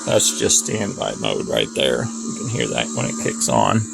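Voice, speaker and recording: A man's voice: extremely low pitch, sing-song, normal speed, loud music behind the voice. A man giving a casual, unscripted hands-on equipment demonstration in a plain-spoken, offhand way. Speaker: audio repair hobbyist demonstrating equipment. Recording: noisy recording with clear machine hum. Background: loud cooling fan and motor noise.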